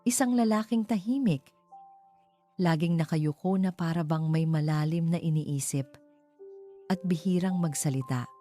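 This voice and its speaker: Low, mellow Filipino woman narrating over music. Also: normal speed, long pauses. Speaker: story narrator. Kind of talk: scripted dramatic storytelling narration. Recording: music behind the voice. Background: clear background music.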